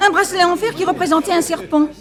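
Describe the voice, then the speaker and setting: A woman's voice: very high-pitched, fairly even intonation, fast talker, crowd noise. A woman playing an effusive, middle-aged, wealthy society mother with a theatrical manner in a French radio drama. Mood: dismayed.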